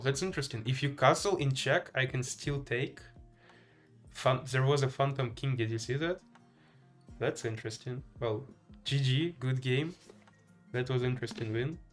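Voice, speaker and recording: A man from Ukraine with a Ukrainian accent, giving casual live game commentary and chatting to viewers in a hesitant, thinking-aloud way. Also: mid-range voice. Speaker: live streamer playing chess.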